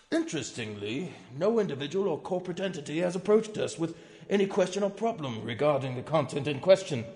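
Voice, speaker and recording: A man's voice: sits high, vocal fry, exaggerated voice. A man voicing a pompous, outraged company executive in a theatrical dramatic reading of threatening emails. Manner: pompous, overwrought, swinging from hushed to shouting. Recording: long echoing hall reverb.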